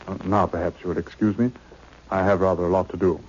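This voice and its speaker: An actor playing a self-assured, cautious British government official with a clipped, weighty manner in a radio drama. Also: male voice, sits low, little pitch movement, fast, a little mellow, breathy edge. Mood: solemn. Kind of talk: old radio drama acting. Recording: muffled old-time radio recording.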